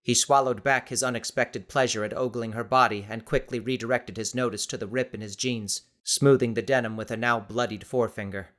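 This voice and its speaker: A man reading dramatic scripted audiobook narration in a measured, suspenseful manner. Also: medium pitch, fairly even intonation, fairly bright tone.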